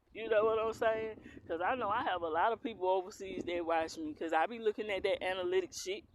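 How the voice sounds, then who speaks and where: A woman's voice: medium pitch, a little fast, crowd noise. A young woman from Philadelphia, raised in the US South, with a strong Southern accent. She reads tarot and rants bluntly to camera with plenty of swearing. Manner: blunt, heavy cursing, defiant. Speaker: tarot reader. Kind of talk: casual to-camera rant. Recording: clear background noise.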